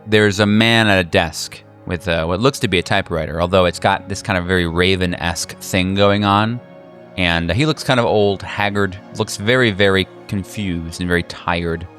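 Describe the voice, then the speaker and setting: A man acting as game master, improvising descriptive horror narration in a halting, casual way. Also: deep voice. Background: soft music.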